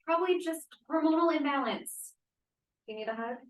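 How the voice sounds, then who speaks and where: A woman's voice: high voice, moderate pace, reverberant, dull audio, lightly acted. A woman acting a guarded but caring young student activist in a stage play table read. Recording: distant room mic.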